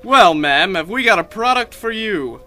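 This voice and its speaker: A man's voice: sits high, expressive, normal speed, sharp tone. A young man playing a mock-earnest, grandiose announcer in a parody 1930s radio advertisement. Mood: grandly persuasive. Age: young adult. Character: earnest smooth-talking male 1930s radio sponsor announcer. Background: music.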